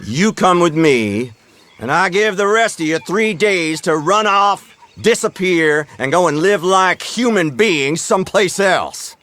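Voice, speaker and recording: Sharp, haughty American lawman. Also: high-pitched, expressive, a little slow. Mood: threatening. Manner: formal, condescending, menacing. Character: stern, haughty Pinkerton detective hunting outlaws. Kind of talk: dramatic video game acting.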